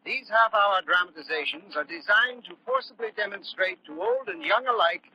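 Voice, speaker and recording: A man's voice: high-pitched, animated, normal speed, pushed and bright. A man announcing solemnly in a declamatory 1940s radio style. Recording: muffled, noisy old radio recording.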